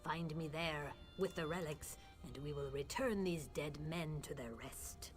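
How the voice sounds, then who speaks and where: A woman playing a grave mystic who speaks for the dead, solemn, measured and formal, in a fantasy game. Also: low voice, soft background music. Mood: calm, instructive. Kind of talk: scripted fantasy game dialogue.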